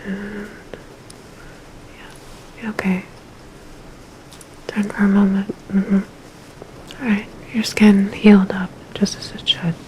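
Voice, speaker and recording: A woman playing a calm, reassuring underground hacker in a cyberpunk ASMR roleplay, speaking softly with gentle, hesitant pauses. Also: medium pitch, a little hiss or hum, natural voice, poor audio. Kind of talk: ASMR roleplay, personal attention.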